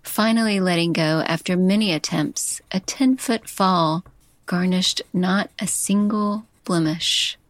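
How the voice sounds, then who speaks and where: Slow, intimate woman narrating lyrically. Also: fairly low pitch, no room sound. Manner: slow, intimate, poetic delivery. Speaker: podcast host and chef. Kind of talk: scripted narration, lyrical love letter.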